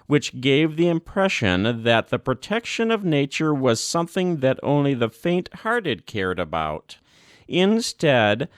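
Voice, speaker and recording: A man narrates a formal religious text in a slow, deliberate delivery. His pitch moves widely as he stresses key words and sets them off with measured pauses. The recording is dry and close, with very little room sound. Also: clear, resonant tone, dry recording. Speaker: volunteer audiobook narrator.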